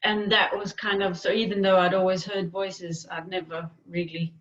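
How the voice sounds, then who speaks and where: A woman from Denmark with a Danish accent, answering interview questions in a thoughtful way with frequent pauses and fillers. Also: lowish voice, little pitch movement, fairly bright tone, lo-fi sound. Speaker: guest, peer expert on hearing voices.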